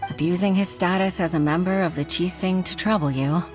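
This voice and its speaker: An actress playing an earnest, weary young clerk in an anime game, formal and a little hesitant. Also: female voice, lowish voice, steady tone, brisk, faint music behind, close to an everyday voice. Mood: troubled. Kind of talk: anime game character dialogue.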